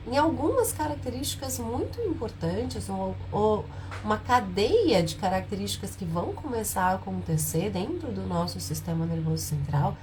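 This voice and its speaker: A woman with a hoarse, nasal voice from a cold, hosting a live stream and explaining a health topic in a softer, lower voice than usual. She poses rhetorical questions to her viewers. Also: a little hiss or hum, poor audio.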